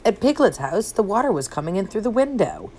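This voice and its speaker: A woman narrating a children's story as an expressive read-aloud. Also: medium pitch, brisk, slight background noise, poor audio. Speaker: storybook reader.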